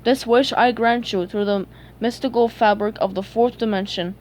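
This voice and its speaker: A woman voicing a mystical, laid-back male talking tumbleweed with a measured, cryptic way of speaking. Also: a little high, fairly even intonation, darkish tone, slight background noise, lightly acted, rough recording. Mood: serene. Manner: cryptic, measured, mildly exasperated.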